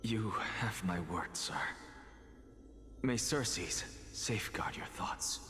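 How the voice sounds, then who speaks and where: A man playing an arrogant, defiant scholar in a video game, speaking in a deliberate, theatrical and pompous way. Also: lowish voice, animated, a bit bright, in a whisper, faint music behind. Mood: solemn, resolute. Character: arrogant, paranoid male scholar, honest, theatrical, defiant loner. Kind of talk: dramatic video game voice acting.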